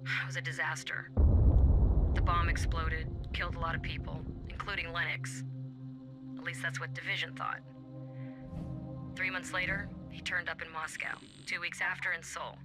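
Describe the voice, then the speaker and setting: A man's voice: a little high, steady tone, normal speed, long pauses, loud music behind the voice, close to an everyday voice. A man playing a world-weary intelligence operative under interrogation in a game cutscene, terse and matter-of-fact. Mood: grim, measured. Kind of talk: cinematic dramatic dialogue.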